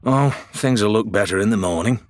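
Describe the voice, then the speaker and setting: A man voicing a cheerful pirate quartermaster in an audiobook, chatty and nonchalant with deadpan humour. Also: lowish voice, moderate pace, close to an everyday voice.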